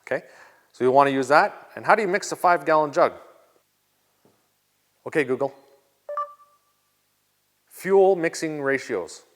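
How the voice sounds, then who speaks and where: A Canadian man hosting a casual vlog, answering viewer questions in a relaxed, chatty way with plenty of ums and uhs. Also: fairly high pitch, unhurried, pauses often, a little hiss or hum. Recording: long reverb.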